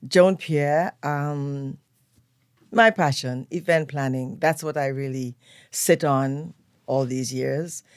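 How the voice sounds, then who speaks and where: An older woman with a Trinidadian accent, a guest telling stories of her upbringing in a relaxed, unhurried way. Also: low-pitched, no room sound, clean studio sound. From Trinidad and Tobago. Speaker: guest, event planner. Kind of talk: relaxed storytelling interview. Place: radio studio.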